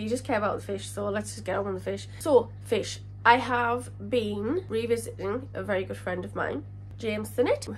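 A woman in her thirties with a congested, slightly crackly voice from a cold, hosting a fish-keeping channel and chatting to the camera in a rambling, self-correcting way. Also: medium pitch. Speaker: aquarium channel host. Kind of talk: casual talk to camera. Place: living room in front of a fish tank.

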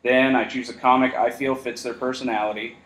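A man's voice: medium pitch, level tone, brisk, a bit bright. A man hosting a podcast in casual chat, joking and self-deprecating. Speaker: podcast host. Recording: roomy echo.

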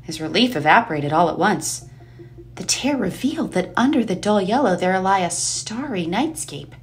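A woman's voice: mid-range voice, expressive, normal speed. A woman reading dramatic scripted narration for an eerie audio play. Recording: music under the voice.

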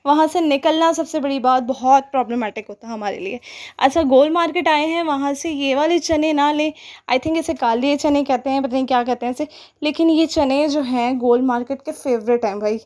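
Young pakistani woman rambling over her vlog clips, high voice, lots of "uh"s. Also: moderate pace, darkish tone. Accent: Pakistani Urdu. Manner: chatty, rambling, with many fillers. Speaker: vlogger. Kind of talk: voice-over narration of a shopping vlog.

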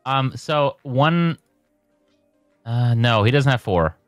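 A man's voice: medium pitch, expressive, slow, no room sound, faint music behind. A man playing a game with a friend online, chatting casually with lots of hesitant fillers and dry humor. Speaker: co-player on a game server. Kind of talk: casual gaming banter.

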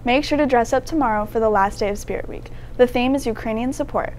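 Higher-voiced teen girl news anchor. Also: fast talker, darkish tone, slight background noise. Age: teens. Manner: scripted announcements. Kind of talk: school newscast. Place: school TV studio desk.

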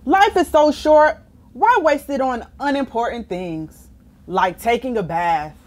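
A woman's voice: on the high side, measured pace, darkish tone, close to an everyday voice. A woman voicing a stubborn, dramatic, cheeky storybook pigeon with exaggerated, whiny delivery. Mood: indignant, evasive. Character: stubborn, dramatic, cheeky male pigeon who hates baths. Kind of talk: children's storybook character reading.

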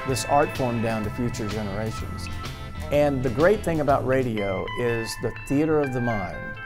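American guy in his 50s, radio host talking about his life, guitar music behind him. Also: medium pitch. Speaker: old-time radio show host. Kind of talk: interview reminiscence. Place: small radio studio office. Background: plucked guitar music.

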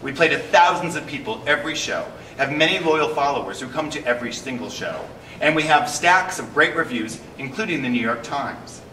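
A man in his fifties who founded a theater company, talking casually to the camera from a small stage with dry, deadpan humor. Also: on the high side, brisk, echoey room, distant mic, a little hiss or hum, rough recording. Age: 50s.

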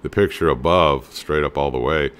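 A middle-aged man hosting a home handyman show, casually explaining table leg designs over photos with a relaxed, plain-spoken manner and lots of "you know.". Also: a bass voice, moderate pace, clear, resonant tone.

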